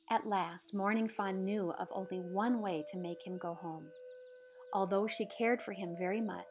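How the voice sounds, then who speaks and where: A woman narrates a picture book with expressive, measured delivery. Her voice sits at a middle pitch for a woman, with soft music playing behind her. The reading is scripted and shaped as dramatic storytelling.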